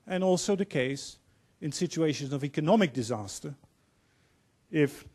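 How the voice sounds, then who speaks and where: A man in his fifties with a Dutch accent, giving an earnest, measured opening speech as panel moderator in a large auditorium, holding a handheld mic. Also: fairly high pitch, expressive, a little mellow, husky. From Netherlands. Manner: earnest, measured, quoting speakers.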